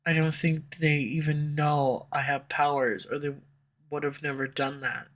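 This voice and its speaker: A woman's voice: deep voice, level tone, unhurried. A woman voice actor playing a proud, guarded female villain who swears and turns defensive when pushed, recorded on a USB condenser mic. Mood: somber, confessional. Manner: curses often, defensive and flustered when pressed. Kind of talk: audio roleplay acting. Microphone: USB condenser microphone.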